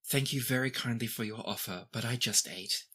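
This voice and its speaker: A woman voicing a formal, composed princess in a measured, courteous manner for a tabletop game. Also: extremely low pitch, fairly bright tone, breathy edge, heavily acted. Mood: polite, firm.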